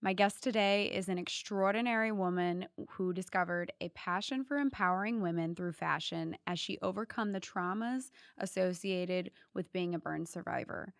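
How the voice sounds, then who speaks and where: A woman hosting an interview podcast, reading a prepared intro and then asking soft prompting questions. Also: fairly low pitch, moderate pace, hardly any pauses, clear, resonant tone, no room sound, studio quality. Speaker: podcast host.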